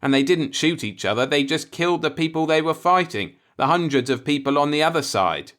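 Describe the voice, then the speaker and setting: A man acts out a righteous, law-abiding fellow from a sci-fi story. He is earnest and insistent, easily alarmed. His pitch moves around in a very animated way. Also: a little fast. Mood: earnest, defensive. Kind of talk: audiobook character voice in a sci-fi story.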